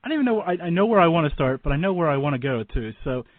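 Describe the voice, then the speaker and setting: Tinny narrow-band audio, male radio host, slightly high voice, casual, rambling, self-deprecating joker. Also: very animated, rapid-fire, no room sound. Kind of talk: casual interview chat. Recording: dry, lo-fi phone-like radio audio.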